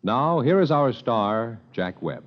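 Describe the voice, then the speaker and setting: Animated American male radio announcer. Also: mid-range voice, slow, dry recording. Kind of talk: emphatic 1950s radio sponsor announcing. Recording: muffled vintage radio, loud music.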